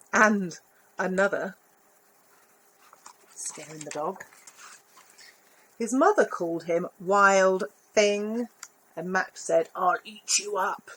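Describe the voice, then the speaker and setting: A woman reads a picture book aloud to young children at an unhurried pace. Her voice sits in a middle pitch and she stops to chat about the pictures. Faint background noise is heard. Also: rough recording. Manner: slow, expressive storybook reading with asides. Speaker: volunteer story reader.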